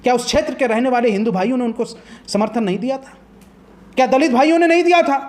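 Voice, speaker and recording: High-pitched man arguing hotly. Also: fast, a little mellow. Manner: impassioned, combative, rhetorical questions. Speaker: political commentator on a TV debate. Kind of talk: heated TV debate argument.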